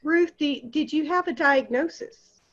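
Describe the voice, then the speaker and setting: A woman interviewer asks her guest questions and jokes with the audience. Her voice sits a little high. The audio sounds dull and muffled. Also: measured pace, fairly bright tone. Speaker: interviewer host. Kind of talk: casual interview.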